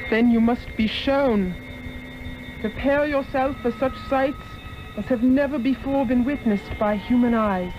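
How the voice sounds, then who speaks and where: A woman's voice: fairly high pitch, a little slow, background noise, close to an everyday voice. An actress playing a noble, enslaved woman from another world in a 1960s radio drama, speaking in a formal, grave manner. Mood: solemn warning. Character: noble otherworldly enslaved woman, solemn and warning. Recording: muffled old radio recording with music.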